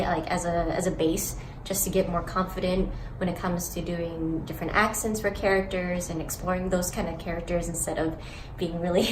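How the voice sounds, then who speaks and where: A woman acting student giving a casual spoken testimonial. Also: lowish voice. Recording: echoey room with clear background noise.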